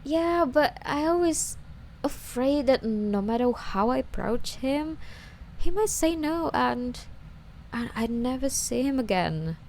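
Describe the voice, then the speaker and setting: A woman's voice: sits high, animated, slow, darkish tone, slight background noise, heavily acted, poor audio. A woman playing a lovesick, obsessive young stalker under interrogation in an ASMR roleplay, with a coy, wheedling, hesitant delivery. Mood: flustered, indignant.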